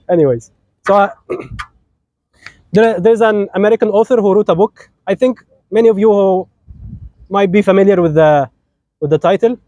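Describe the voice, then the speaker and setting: A man's voice: sits high, moderate pace, pauses often, warm tone, dry recording, highs cut off. A young Egyptian man leading a wedding as officiant. He is a little sick and his voice isn't at full strength, but he talks playfully and teases the couple. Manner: playful, teasing, switches between English and Arabic. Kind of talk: lively wedding sermon, joking with the couple.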